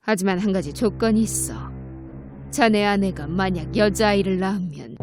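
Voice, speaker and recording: A woman's voice: mid-range voice, moderate pace, over music, voice pushed down, lightly acted. A woman voicing a wicked, haughty witch in a storybook tale, imperious as she questions, commands and bargains. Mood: coolly commanding.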